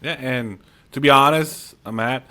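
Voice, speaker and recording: A man's voice: mid-range voice, a little slow, sharp tone. Upbeat man, Spanish native speaker. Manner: upbeat, encouraging, says "you know" and "man" often. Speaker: guest. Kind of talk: casual interview chat.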